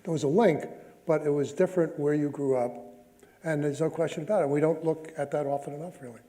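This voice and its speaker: A man's voice: a little high, fairly even intonation, fast, mellow. A man from New York speaking as a panelist, reflective in tone. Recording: distant in echoey hall, faint noise.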